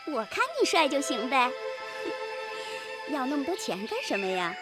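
A sweet young bride in a radio drama, with a high voice. She is affectionate and gently teasing. Music plays clearly behind her. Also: sing-song, measured pace, full character voice. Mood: tender. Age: young adult. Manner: tender, playful. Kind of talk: Chinese radio drama acting.

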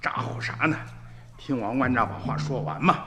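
A man's voice: medium pitch, expressive, normal speed, pushed and bright, a little hiss or hum. A man playing an old peasant grandfather in a radio drama, earthy and colloquial, emotional in his storytelling. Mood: stern, angry. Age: older. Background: music.

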